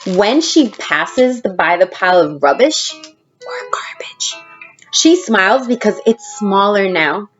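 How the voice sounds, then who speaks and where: A woman's voice: medium pitch, expressive, takes it slowly, highs cut off, soft background music. A woman teacher doing a children's read-aloud, explaining as she goes and speaking directly to her young listeners. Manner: addresses children directly, explaining words and asking questions. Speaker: elementary school teacher reading aloud to students.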